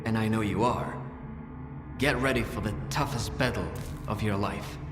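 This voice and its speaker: An actor playing a weary, philosophical and loyal veteran guide, wounded, who talks earnestly and reflectively for a video game. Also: male voice, on the low side, normal speed, over music, close to an everyday voice. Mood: grim, urging.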